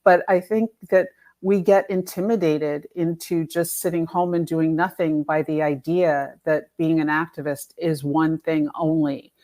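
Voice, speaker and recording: Low-pitched woman, emphatic interview guest. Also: fairly even intonation, measured pace, hardly any pauses, warm tone. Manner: emphatic, illustrates points with imagined quotes. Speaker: guest, author and activism coach. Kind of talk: conversational interview explanation.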